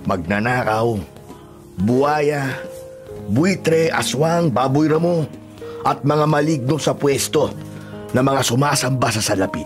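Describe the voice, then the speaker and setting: An actor with a Filipino accent playing a stubborn, wounded fugitive brother in an emotional, questioning radio drama delivery. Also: male voice, medium pitch, slow, pauses often, soft background music, lightly acted. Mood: repentant. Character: stubborn wounded male fugitive, bitter then repentant elder brother.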